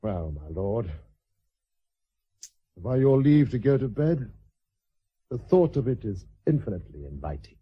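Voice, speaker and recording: An actor playing a dry, sceptical naval captain, measured and formally deferential, in a radio drama. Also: male voice, mid-range voice, sing-song, husky, lo-fi sound. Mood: frank, weary.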